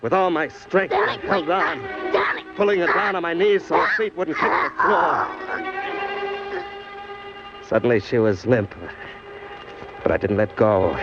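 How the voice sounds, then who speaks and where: A man's voice: lowish voice, vocal fry. An actor playing a cynical, slangy hardboiled criminal who tells his own crime story in an old-time radio drama. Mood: intense, straining. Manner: tough, wry, slangy. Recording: dull old radio recording, music behind.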